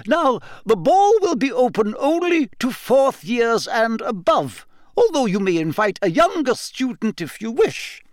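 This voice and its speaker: A man's voice: very high voice, sing-song, unhurried, hardly any pauses, no echo, voice pushed up, full character voice. A stern older schoolmistress, put on by a man reading aloud, with a raised, clipped voice that swoops up sharply on commands. She speaks firmly and disapprovingly, the sound dry and close, as on an audiobook. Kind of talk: audiobook character voice.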